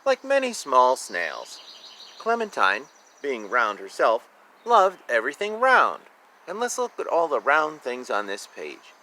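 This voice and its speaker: Male storybook reader, bright voice, lively swings in pitch, informal asides, recorded outdoors with faint background noise. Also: unhurried. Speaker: reader of a children's picture book. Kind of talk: read-aloud storytelling with casual asides about the pictures.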